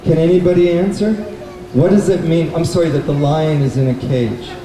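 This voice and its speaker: A man presenting a live puppet show, telling stories and explaining their lessons to children in a measured, didactic way. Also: a little high, fairly even intonation, dark tone. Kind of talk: live storytelling and talk to children. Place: indoor bookstore event. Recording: echoey, noisy room, distant mic.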